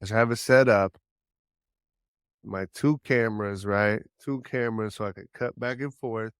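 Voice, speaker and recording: Man, podcaster, low smooth voice, agreeable, thinks aloud, dry sound. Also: moderate pace, no echo. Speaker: podcast host being audited. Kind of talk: casual chat. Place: Saigon.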